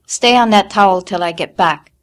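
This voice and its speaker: A woman's voice: medium pitch, unhurried, a little mellow, natural voice. A woman voicing a blunt, harsh middle-aged mother of twelve who snaps and scolds in coarse speech. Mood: scornful.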